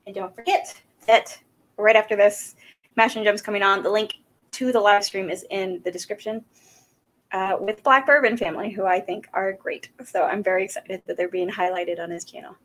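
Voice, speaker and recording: A woman's voice: medium pitch, steady tone, moderate pace, a bit bright. A woman in her thirties from South Carolina, hosting a casual whiskey tasting livestream from a small room at home, rambling and chatty with lots of fillers. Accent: Southern American. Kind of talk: casual live-stream chat. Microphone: desk microphone on a boom arm.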